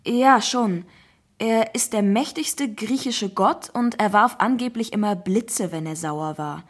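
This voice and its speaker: A woman's voice: medium pitch, takes it slowly. A woman voicing a curious, youthful schoolboy in a children's audiobook, eager and easily impressed.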